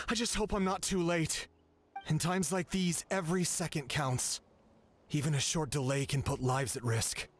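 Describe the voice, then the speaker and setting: A young male messenger hurt in battle, in a video game. His voice sits a little high for a man. He sounds worn out and anxious, yet earnest and dutiful. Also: fairly even intonation, measured pace, a bit bright, a little hiss or hum. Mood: weary, anxious. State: hurt, weary. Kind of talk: video game dialogue acting.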